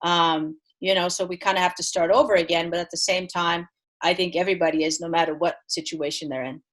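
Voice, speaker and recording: A woman's voice: fairly low pitch, flat delivery, fairly quick, fairly bright tone. A middle-aged woman from Belgium who grew up speaking Dutch and French, a head tennis coach talking earnestly in an interview with frequent restarts. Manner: earnest, with many restarts and fillers. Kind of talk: remote interview.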